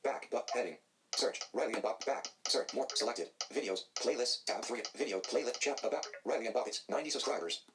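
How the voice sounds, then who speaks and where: Fast synthetic male screen reader voice. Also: mid-range voice, a little hiss or hum. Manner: flat synthetic announcements of screen items.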